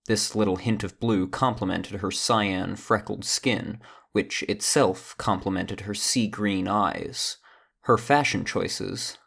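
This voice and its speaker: A storyteller narrating a scripted fantasy audio drama. The voice is low and notably creaky.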